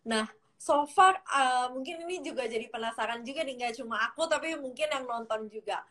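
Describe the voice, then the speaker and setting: A woman's voice: high voice, a little fast, background noise. A young woman hosting an interview, attentive and polite, with frequent hmms and okays. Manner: attentive, frequent hmm and okay, politely addresses guest. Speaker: interviewer, host. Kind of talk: casual interview.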